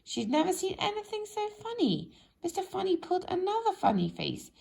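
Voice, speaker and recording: A woman reading a children's story aloud in an expressive, animated way, speaking directly to young listeners. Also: very high voice, a little fast, gravelly, poor audio. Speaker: storybook reader.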